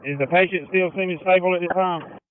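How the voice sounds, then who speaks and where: American man, incident commander, fairly high voice, brief orders, muffled clicking radio. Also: steady tone, a little fast, clear, resonant tone. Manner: directive, brief instructions. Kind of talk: emergency radio dispatch traffic. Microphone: two-way emergency radio.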